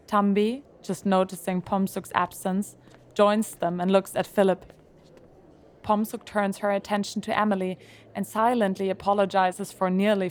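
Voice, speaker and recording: Woman calmly narrating an audio drama. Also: mid-range voice.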